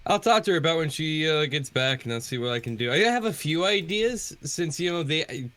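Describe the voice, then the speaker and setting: A man's voice: on the high side, animated, brisk, a bit bright. A man chatting casually as a guest on a livestream, easygoing and self-deprecating. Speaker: guest on a development livestream, artist. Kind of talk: casual chat. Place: online voice call.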